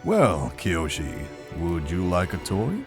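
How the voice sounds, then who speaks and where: A man playing a gentle, wry middle-aged monk who is kindly with children in an animated fantasy story. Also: low-pitched, slow, over music, heavily acted. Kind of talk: animated fantasy visual novel voice acting.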